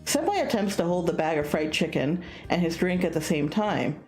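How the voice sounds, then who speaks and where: A woman reads a story game aloud for viewers in a fairly low voice. Her delivery swings up and down expressively, with casual asides. Soft music plays behind her. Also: moderate pace. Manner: animated, acts out dialogue. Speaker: streamer reading a visual novel aloud.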